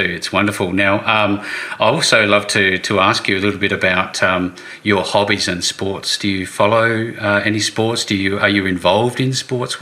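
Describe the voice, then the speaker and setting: A man's voice: on the low side, pushed and bright. A man hosting a business interview show in an upbeat, conversational style.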